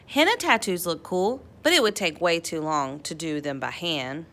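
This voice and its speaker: A woman reading a children's picture book aloud as narrator, with playful dramatic emphasis and drawn-out words. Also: lowish voice, lively intonation, a bit bright. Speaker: storybook read-aloud narrator.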